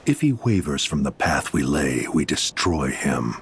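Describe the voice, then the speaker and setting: A man playing a cold, commanding dark overlord in a video game, grave and formal. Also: very deep voice, big pitch swings, a little slow, a little hiss or hum, heavily acted. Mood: cold menace. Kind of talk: dramatic video game acting.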